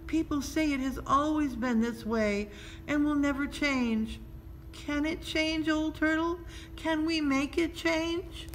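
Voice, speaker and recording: Woman as a high-voiced, earnest little girl. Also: fairly even intonation, slow pace. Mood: earnest, pleading. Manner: earnest, hesitant, questioning. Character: small earnest wondering girl, pleading and hesitant. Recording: clearly noisy background ambience.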